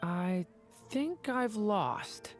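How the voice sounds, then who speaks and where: A woman's voice: mid-range voice, expressive, very slow. A woman plays a young dragon in boy's form from a video game. The manner is polite, earnest and a little hesitant, with faint music underneath. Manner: soft-hearted, hesitant, polite.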